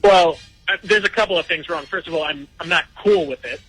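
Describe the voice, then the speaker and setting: A man's voice: on the high side, brisk, bright, forceful tone, slightly rough, no room sound, soft background music, rough recording. A man calling in to a radio show, speaking hesitantly with stammering restarts. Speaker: caller. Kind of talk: telling his story on a radio call-in.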